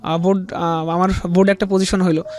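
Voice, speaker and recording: Young Bangladeshi male guest, fairly high voice, hesitant and respectful, circling back over his words as he recounts his life. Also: level tone, darkish tone. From rural Bangladesh. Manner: halting, repetitive, deferential. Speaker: interview guest. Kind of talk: personal life story told in interview.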